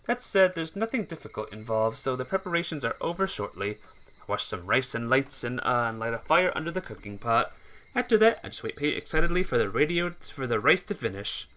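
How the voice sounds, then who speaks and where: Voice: mid-range voice, sing-song, fairly quick, bright, forceful tone, slight background noise, rough recording. A streamer reading a visual novel aloud, often stumbling over words and correcting the slip, with music behind. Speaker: streamer, game player.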